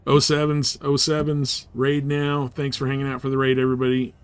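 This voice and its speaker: A man's voice: medium pitch, completely flat delivery, fairly quick, clean tone, dry recording. A man chatting casually as a live game streamer, easygoing and often half muttering to himself as he goes.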